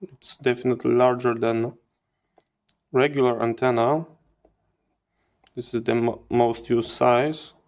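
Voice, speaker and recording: A man giving hands-on teardown commentary as a channel host, in halting phrases with repeated words. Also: medium pitch, steady tone, darkish tone. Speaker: host of a radio electronics channel.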